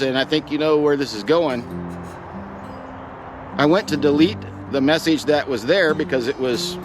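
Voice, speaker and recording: A middle-aged man sharing a personal story in a reflective, sincere, plain-spoken way, as if chatting to viewers. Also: a little high. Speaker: pipe vlogger telling a personal story.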